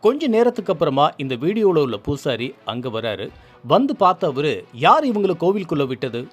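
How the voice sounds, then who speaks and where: A man delivers scripted commentary in a lively, swinging intonation. His voice sits a little high, and he is emphatic and indignant, pressing rhetorical questions over faint music. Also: a little fast. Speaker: news commentary presenter. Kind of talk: scripted social-issue commentary.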